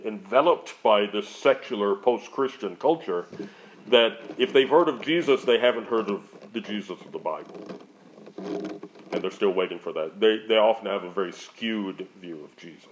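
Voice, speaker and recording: Low-voiced man, rambling earnest talk. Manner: rambling, frequent restarts. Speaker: missionary speaker. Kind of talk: answering audience questions. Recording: dull lo-fi audio.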